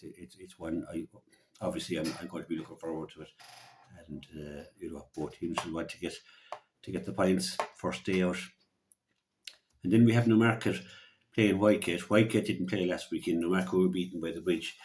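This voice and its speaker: Deep-voiced Irishman previewing hurling. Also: a little mellow. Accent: Irish, County Clare. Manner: halting, often repeating words. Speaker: local radio hurling pundit. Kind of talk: conversational sports preview.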